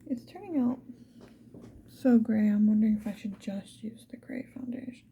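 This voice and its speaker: A woman's voice: fairly high pitch, moderate pace, phone-quality sound, slight background noise. Whispering woman making casual ASMR. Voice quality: breathy whisper. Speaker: ASMR video creator.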